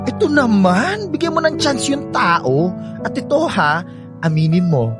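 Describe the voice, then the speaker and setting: A woman's voice: medium pitch, big pitch swings, unhurried. A woman playing a nosy, playful friend in a radio drama, prying and teasing about romance. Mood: teasing, prodding. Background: clear background music.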